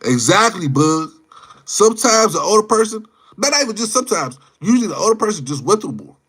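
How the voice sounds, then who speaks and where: A man's voice: high-pitched, sing-song, a little fast, a bit bright, husky. A man in his twenties chatting casually as a livestream host, slangy and rambling, often repeating his words and phrases. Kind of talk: casual live chat, off-the-cuff opinion.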